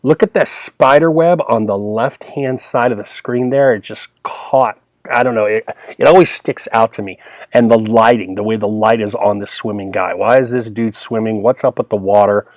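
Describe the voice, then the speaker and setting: A man's voice: on the low side, normal speed, darkish tone, dry recording. A man hosting a film analysis in a casual, enthusiastic, conversational way, talking like a teacher walking viewers through clips.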